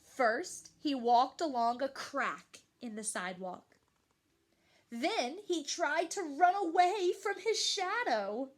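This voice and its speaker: A woman reading a picture book aloud to young children, expressively and with questions to the listeners. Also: high-pitched. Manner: animated, slow and deliberate, addressing young children.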